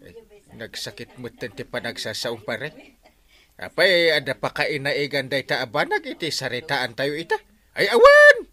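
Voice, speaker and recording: A man's voice: mid-range voice, huge pitch swings, moderate pace, pushed and bright, heavily acted. A man with an Ilocano accent playing an exasperated village man scolding his erring friend in a radio comedy drama, with theatrical delivery. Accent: Ilocano, Philippines.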